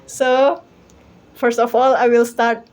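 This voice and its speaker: A woman's voice: fairly high pitch, little pitch movement, takes it slowly, darkish tone. A young Indonesian woman with an Indonesian accent, presenting informally to a small online group in a giggly, friendly, chatty way. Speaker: host and presenter of a language practice talk group. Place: online video call.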